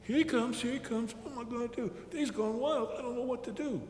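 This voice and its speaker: A man's voice: very high-pitched, lively intonation, normal speed, slight background noise, pitched above the performer's own voice. A man voicing a hapless, gullible raccoon in a folktale, with plaintive, folksy rural phrasing. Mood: worried muttering. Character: hapless, worried male raccoon, whining and gullible. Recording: echoey hall with long reverb.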